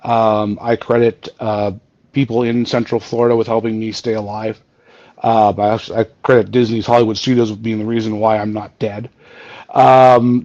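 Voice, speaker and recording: A Canadian man in his forties with a booming voice, telling his story in a rambling way as a podcast guest. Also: mellow, dry recording, lo-fi sound. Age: 40s. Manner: rambling, many fillers and restarts. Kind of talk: casual storytelling chat. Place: home. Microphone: remote video call.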